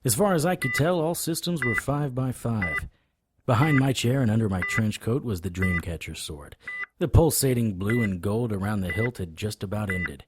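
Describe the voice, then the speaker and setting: An actor playing a weary, lovestruck occult investigator who narrates his own case in a wry, measured way for an audio drama. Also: fairly low pitch, lively intonation, noisy background, natural voice. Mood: somber, measured. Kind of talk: first-person noir audio drama narration and dialogue. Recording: music under the voice.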